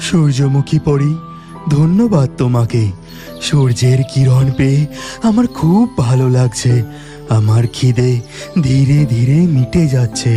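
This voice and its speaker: A man voicing a hungry, gentle talking tree in a children's cartoon, speaking in a pleading, plaintive way. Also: medium pitch, lively intonation, measured pace, over music, lightly acted. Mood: grateful, relieved.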